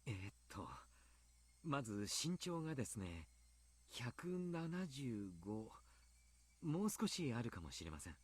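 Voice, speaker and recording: A man playing a grave, polite doctor and coach in a Japanese visual novel, speaking deliberately. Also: mid-range voice, very animated, breathy edge. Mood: thoughtful.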